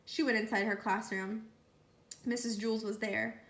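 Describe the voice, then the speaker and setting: A woman reading a children's book aloud as a storyteller, with animated delivery. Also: on the high side, measured pace. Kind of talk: read-aloud storytelling narration. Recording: roomy echo.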